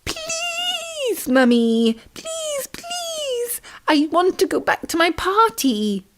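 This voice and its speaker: A tiny, tearful boy tadpole from a bedtime storybook, played by a woman. The voice is very high and whiny, full of sobs and pitiful pleading. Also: wildly swooping pitch, extremely slow, background noise, heavily acted. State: sobbing.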